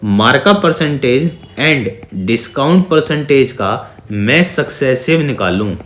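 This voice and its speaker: An Indian man with a medium-pitched voice gives an exam-prep maths lesson. He works through each step didactically, repeating phrases and posing quick check-in questions. He switches between Hindi and English terms, and the sound is noticeably echoey. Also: expressive, a bit bright. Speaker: maths teacher. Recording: echoey, reverberant room sound.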